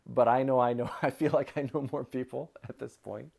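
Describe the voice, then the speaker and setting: A man chatting casually as an interview guest, with an animated storytelling manner. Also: medium pitch, a little fast, gravelly, husky. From outside Japan. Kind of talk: casual chat.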